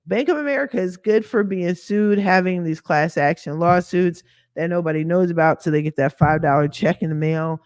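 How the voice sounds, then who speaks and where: A hoarse woman whose voice has dropped deeper from a lingering cough talks through a casual screen-share walkthrough. She runs a credit repair business and speaks candidly in slang, with sassy asides. Her voice is recorded dry and close on a computer mic. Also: moderate pace, a little mellow, no echo.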